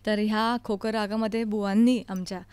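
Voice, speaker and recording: A woman's voice: mid-range voice, level tone, moderate pace, darkish tone, clean tone, no echo. A woman, a classical vocalist speaking as an interview guest in a studio. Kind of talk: conversational interview. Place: recording studio.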